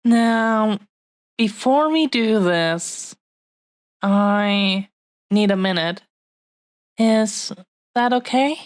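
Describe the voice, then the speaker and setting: A woman playing an affectionate, possessive dragon-blooded fiancée in an intimate romantic audio roleplay, speaking tenderly and haltingly to the listener. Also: medium pitch, dry recording. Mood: tender, pleading. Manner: tender, halting, lingering over short phrases.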